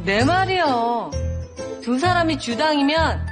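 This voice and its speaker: An actress playing a blunt, sarcastic wife who mocks the men's drinking. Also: female voice, high-pitched, animated, takes it slowly, over music. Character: sharp-tongued wife mocking the men.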